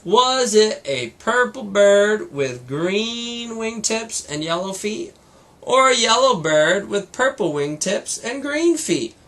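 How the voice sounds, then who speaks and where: A man voicing a puzzled father bear, questioning in a drawn-out way, for a children's storybook. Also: high-pitched, animated, a bit bright, pitched above the performer's own voice, exaggerated voice. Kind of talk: children's storybook read-aloud.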